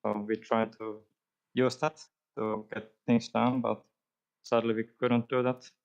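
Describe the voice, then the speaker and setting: A man's voice: mid-range voice, little pitch movement, measured pace, vocal fry, a little mellow, lo-fi sound. A man being interviewed after a match as an esports player, giving brief and hesitant answers.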